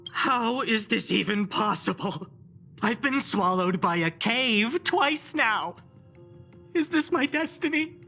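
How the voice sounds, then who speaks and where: A woman's voice: fairly high pitch, expressive, slow pace, bright, forceful tone, soft background music, exaggerated voice. A woman voicing a jaded, melodramatic, guarded grumbler in a video game, sardonic in manner. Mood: despairing.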